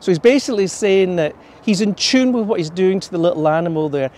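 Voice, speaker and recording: A man's voice: high voice, quick pace, a little mellow. Scottish man guiding an outdoor tour. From Scotland. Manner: reads verse aloud from a folder, storytelling. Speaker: tour guide. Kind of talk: guided tour talk with poetry reading. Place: outdoors in a snowy city park. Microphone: camera at a distance.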